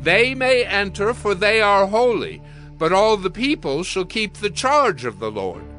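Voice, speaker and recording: An actor playing a stern, solemn Old Testament priest in a dramatized audio Bible, declaiming with authority. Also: male voice, high voice, sing-song, unhurried, sharp tone, faint music behind, exaggerated voice. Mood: commanding, solemn.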